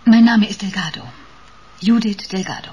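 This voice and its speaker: A determined woman in her thirties played by an actress speaks as a client in a radio drama. Her voice is medium-pitched, earnest and insistent, with faint noise in the background. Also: moderate pace, lo-fi sound, lightly acted. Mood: indignant, disbelieving. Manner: insistent, serious. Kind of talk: radio play dialogue.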